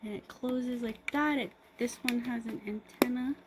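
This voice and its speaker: A woman giving a casual, improvised unboxing review, pausing mid-sentence in an appreciative, unhurried way. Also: a little high, fairly even intonation. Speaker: product reviewer. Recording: clearly noisy background ambience.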